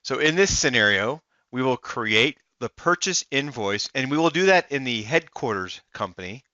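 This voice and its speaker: A man narrating a software demo as a voice-over, explaining it step by step in the first person. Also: mid-range voice, expressive, moderate pace, no room sound, lo-fi sound. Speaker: software demonstrator.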